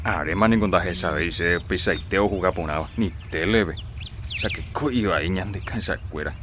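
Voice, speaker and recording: A man's voice: low-pitched, normal speed, noisy background, natural voice. An actor with a Paraguayan accent playing a plainspoken rural father in a radio drama.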